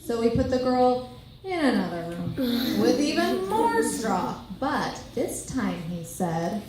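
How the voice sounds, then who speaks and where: A woman's voice: on the high side, sing-song, takes it slowly, over a noisy crowd. A woman narrator reading a children's tale aloud with storytelling expression, in a very echoey room with faint noise.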